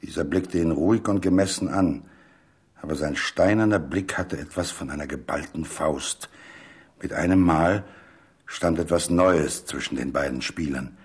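A man reading a literary story as a scripted dramatic narration, measured and full of suspense. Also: very deep voice, husky.

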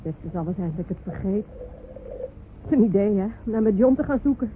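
A woman's voice: mid-range voice, expressive, a little fast, natural voice. An actress playing a bold, bossy village woman with blustering, earthy speech in a radio play. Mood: disappointed. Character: bold, brash village woman searching for her missing John. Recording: noisy, phone-like old radio recording.

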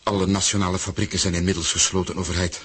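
A man's voice: sits low, level tone, fast talker, fairly bright tone, breathy edge, heavily acted. An actor playing an obsequious aide to a ruler in a radio satire, deferential and hesitant in his way of talking. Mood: anxious. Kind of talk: Dutch-language radio satire acting.

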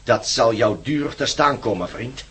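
Menacing, pompous sheriff, sharp and animated. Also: male voice, medium pitch, unhurried, slight background noise. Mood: smug menace. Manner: pompous, threatening, sarcastic. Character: menacing, mocking corrupt village sheriff leading a gang. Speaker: actor in a radio play. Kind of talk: radio drama acting.

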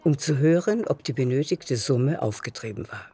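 Mellow low-voiced woman narrator. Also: expressive, normal speed, breathy edge. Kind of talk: scripted literary narration for radio drama.

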